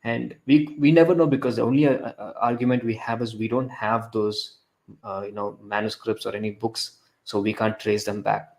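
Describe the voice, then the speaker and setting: A man's voice: lowish voice, steady tone, darkish tone. A young man from India with an Indian English accent, chatting casually as co-host and often restarting his sentences. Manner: halting, frequent restarts and fillers. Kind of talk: casual podcast discussion.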